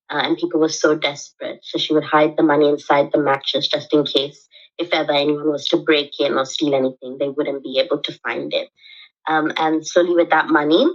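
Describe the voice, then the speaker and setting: A young woman guest from the UK and Sri Lanka, telling her story in an interview with many pauses and ums. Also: low-pitched, highs cut off. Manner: hesitant, many ums and restarts. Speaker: guest, human rights advocate. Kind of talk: interview answer, personal story.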